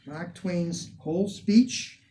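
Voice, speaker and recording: Older male curator; animated anecdotal talker, warm mellow voice. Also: high-pitched, slow pace, a little hiss or hum. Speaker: museum curator. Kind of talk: storytelling talk to an audience.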